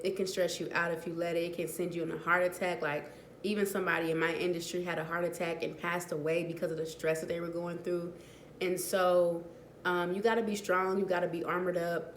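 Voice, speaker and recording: A woman vlogger with a hoarse, raspy voice from a cold, talking earnestly and encouragingly to her viewers about faith, repeating phrases for emphasis. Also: mid-range voice, bright, forceful tone, roomy sound, a little hiss or hum. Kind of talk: casual encouraging talk to camera.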